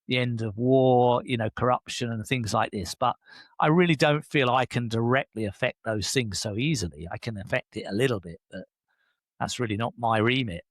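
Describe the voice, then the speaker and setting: A man, an enthusiastic interview guest who speaks casually and keeps affirming with "yeah". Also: no room sound. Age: 60s. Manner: enthusiastic, animated pitch jumps, frequent affirming "yeah". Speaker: guest, leadership coach.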